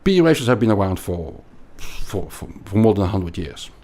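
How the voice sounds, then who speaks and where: A man with a Belgian accent explaining things as an interview guest, haltingly and emphatically, often repeating words. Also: on the low side, very animated, mellow. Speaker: podcast guest, investment analyst.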